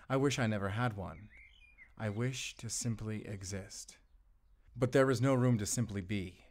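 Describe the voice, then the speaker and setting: A man's voice: fairly low pitch, lightly acted. A man voicing a murderous, misanthropic werewolf's journal as a solemn, ominous dramatic monologue in an audio drama. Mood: brooding. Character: male murderous werewolf diarist, brooding, fanatical, misanthropic.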